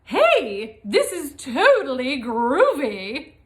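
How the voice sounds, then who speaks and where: A woman's voice: high voice, huge pitch swings, very slow, reverberant, exaggerated voice. A woman voicing a small, plucky, cheerful storybook cat hero in an earnest, exclamatory way. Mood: excited.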